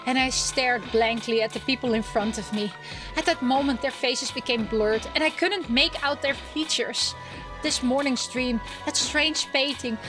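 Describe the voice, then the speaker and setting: High-voiced woman reading a game aloud, music behind. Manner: expressive, theatrical reading with asides. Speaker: host reading a visual novel aloud. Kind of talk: gameplay read-aloud with playful reactions.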